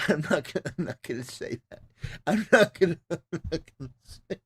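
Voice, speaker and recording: A man's voice: a little high, expressive, brisk, very noisy. A man giving casual, opinionated livestream commentary in an emphatic, repetitive way, with stop-start phrasing. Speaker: livestreamer reacting to a clip.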